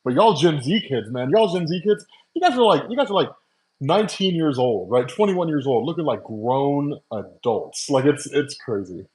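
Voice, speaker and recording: A man's voice: fairly high pitch, expressive, normal speed, a little mellow, low-quality recording. Chatty forty-something man, laughing a lot. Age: 40s. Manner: loose, chatty, laughs often, many fillers. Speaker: comics channel host. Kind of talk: casual live-stream chat with viewers. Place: at home making coffee. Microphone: wireless earbuds.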